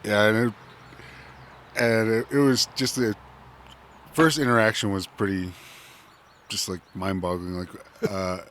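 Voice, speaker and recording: A man with a low voice, a chef, tells a story hesitantly and leans on fillers. Faint noise lies behind him. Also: fairly even intonation, unhurried, long pauses, a bit bright. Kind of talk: casual podcast chat.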